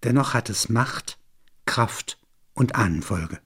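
A man narrating literary and philosophical reflections in measured, deliberate phrases. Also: medium pitch, lively intonation, a bit bright, breathy edge.

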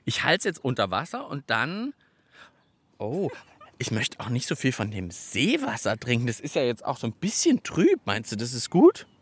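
A man co-hosts a children's radio show. He talks casually and playfully, with highly animated pitch movement. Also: normal speed, husky, no room sound.

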